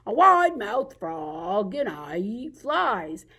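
A woman voicing a cheerful, boastful wide-mouthed frog for a children's puppet show, with drawn-out, emphatic, sing-song phrasing. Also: mid-range voice, sing-song, sharp tone, husky, full character voice.

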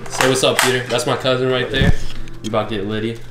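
A man's voice: medium pitch, fairly even intonation, fast, crowd noise, rough recording. A young man chatting casually into his camera at home, playful and full of slang. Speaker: vlogger. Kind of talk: casual vlog chat. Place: living room at home. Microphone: handheld camera with mounted mic.

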